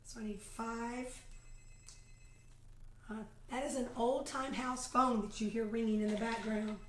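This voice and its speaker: Woman teacher, raspy from a lost voice, relaxed and talkative, counting things out slowly; echoey room. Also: a little high, a little hiss or hum. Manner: chatty, counts aloud slowly while demonstrating. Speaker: kindergarten teacher showing math activities. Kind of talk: casual live video demonstration. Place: home, a phone ringing in the house.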